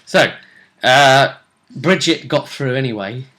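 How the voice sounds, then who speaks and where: A man's voice: mid-range voice, slow pace, bright, forceful tone. A man, hosting a vidcast and giving a chatty, casual recap of a tabletop role-play tournament. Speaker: vidcast host.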